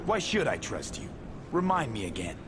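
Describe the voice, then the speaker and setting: A man with an American accent playing a gruff, stubborn young mercenary in a video game, speaking in blunt, clipped sentences. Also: mid-range voice, huge pitch swings, moderate pace, background noise. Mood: irritated, wary. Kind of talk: cinematic video game acting.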